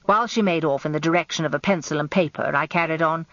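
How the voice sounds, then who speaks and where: A woman's voice: low voice, fast, a bit bright, dry recording. An actress with an English accent playing an observant, wry lawyer's wife turned amateur detective, confiding her story in a radio drama. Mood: wry, musing. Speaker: narrator. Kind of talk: first-person radio drama narration. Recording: dull lo-fi radio recording.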